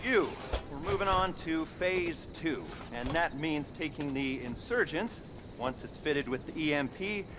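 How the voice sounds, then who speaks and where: Sardonic American operative, slightly high-voiced. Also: male voice, expressive, noisy background. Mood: sardonic, instructing. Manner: sardonic, brisk, slangy. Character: sardonic, shady American intelligence operative briefing a criminal crew. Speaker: mission briefer. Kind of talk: video game cutscene acting.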